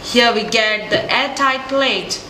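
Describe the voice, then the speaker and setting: Slow-spoken woman demonstrator in an echoey room. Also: on the high side, bright, forceful tone. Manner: deliberate, instructional. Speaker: product demonstrator. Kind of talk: scripted product demonstration. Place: product showroom, indoors. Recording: echoey, distant camera mic, noisy room.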